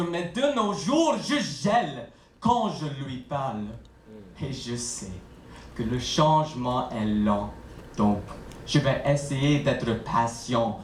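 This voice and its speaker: Slow, expressive male slam poet. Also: fairly high pitch. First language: English. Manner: dramatic, deliberate delivery with pauses. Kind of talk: spoken word poetry performance. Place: indoor poetry slam venue. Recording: roomy, distant live stage recording, some noise.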